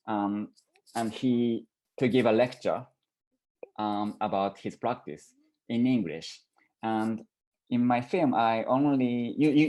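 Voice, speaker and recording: Low-voiced man, halting artist talk. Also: darkish tone. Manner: halting, searching for words. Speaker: artist presenting own work.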